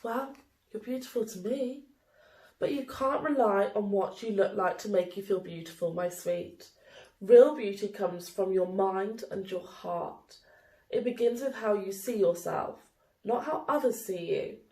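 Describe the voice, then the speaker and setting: In an echoey room, a woman reads the part of a tender storybook mother. She is soothing and earnest, speaking slowly to comfort her little girl. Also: mid-range voice, dark tone, natural voice. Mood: tender, reassuring. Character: gentle, loving mother comforting her young daughter. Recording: roomy, echoey indoor sound.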